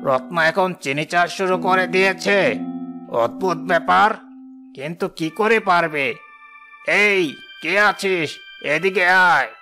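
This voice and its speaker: A man's voice: high-pitched, measured pace, long pauses, faint music behind, full character voice. A man voicing a cruel, scheming village landlord in a children's fairy-tale cartoon, bossy and commanding in his delivery. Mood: indignant. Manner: commanding, sharp.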